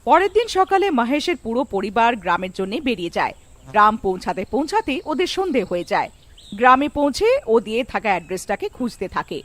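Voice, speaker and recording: A woman narrates a scripted horror cartoon. Her voice is high, with animated, storybook-style rises and falls. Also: a little fast.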